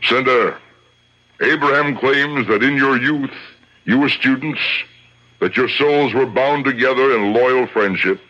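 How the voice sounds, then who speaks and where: An actor playing a solemn, commanding rabbi in a radio drama, declaiming in a measured, theatrical way. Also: male voice, mid-range voice, pushed and bright. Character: solemn, commanding rabbi judge conducting a tribunal and exorcism. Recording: dull lo-fi old radio recording.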